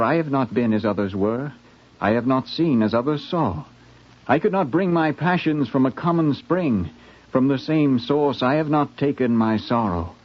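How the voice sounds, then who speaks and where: An actor playing an intense, grandiose young poet in a 1950s radio drama, declaiming rapturously. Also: male voice, mid-range voice, close to an everyday voice. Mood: rapturous, brooding. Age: young adult. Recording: muffled 1950s radio recording.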